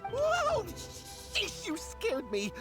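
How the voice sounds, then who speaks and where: A man's voice: extremely high pitch, wildly swooping pitch, extremely slow, fairly bright tone, full character voice. An actor playing an earnest, jumpy, hesitant young man in a video game. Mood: startled. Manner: hesitant, fumbling. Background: game music.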